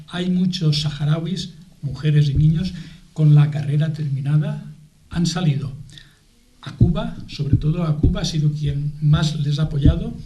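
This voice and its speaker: Middle aged guy from spain explaining stuff on a tv debate, soft mellow tone, kinda halting, echoey room. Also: fairly high pitch. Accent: Spanish from Spain. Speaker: guest, aid association spokesman.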